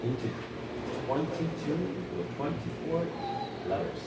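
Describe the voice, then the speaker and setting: A man's voice: on the high side, animated, a little slow. A man who grew up speaking Hebrew, teaching a group informally about scripture, often repeating and restarting words. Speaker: Bible teacher. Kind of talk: informal teaching talk with audience. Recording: echoey distant room recording, noisy.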